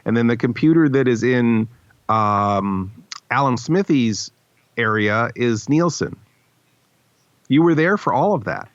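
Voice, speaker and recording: Male podcast host, medium pitch, teasing and casual, close dry sound with dulled top end. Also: animated, measured pace, long pauses, no echo. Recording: slightly dull, dry recording.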